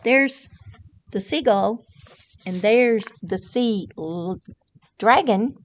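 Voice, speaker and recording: A woman, reading a picture book aloud to children and pausing to ask them simple questions. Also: mid-range voice, slight background noise. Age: 60s. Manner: questioning, explains simply to young listeners. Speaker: children's librarian reading at storytime. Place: indoors, small decorated library room.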